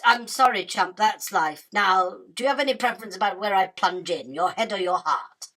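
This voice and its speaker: An imperious spider queen from a radio drama, acted by a woman. Her voice is mid-pitched, bright and forceful. She talks down to her prey with haughty, dry scorn. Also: normal speed, lightly acted. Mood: imperious, menacing. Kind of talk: illustrated radio drama acting.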